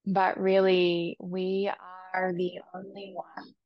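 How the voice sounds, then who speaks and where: A woman leading a guided meditation with soft, soothing instructions. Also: on the low side, completely flat delivery, gravelly, no room sound, muffled recording. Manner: soft, slow, soothing instructions with long pauses.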